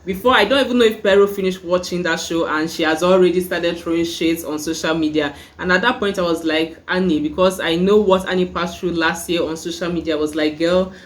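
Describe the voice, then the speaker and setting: A woman's voice: on the low side, steady tone, a little fast, few pauses. Chatty Nigerian woman reviewing a show. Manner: chatty, many "like" and "you know" fillers. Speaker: YouTube reviewer. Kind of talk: casual review vlog.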